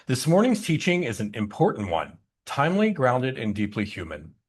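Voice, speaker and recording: Male service host, highly animated intonation, deliberate phrasing, ceremonial introduction of a spiritual teaching. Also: breathy edge.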